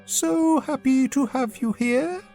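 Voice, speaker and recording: A woman's voice: sits high, slow pace, dark tone, faint music behind, pitched above the performer's own voice. A woman voicing a kindly, gracious silver-haired deer creature in a children's storybook, polite and welcoming. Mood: delighted welcome.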